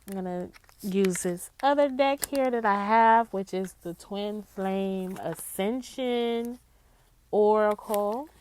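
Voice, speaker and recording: A woman's voice: mid-range voice, a little mellow, a little hiss or hum. A woman reading tarot-style cards in a casual spiritual chat, with a nasal, congested voice, often checking in with the listener. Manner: slow and drawn-out, with frequent "okay?" check-ins. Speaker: psychic tarot reader.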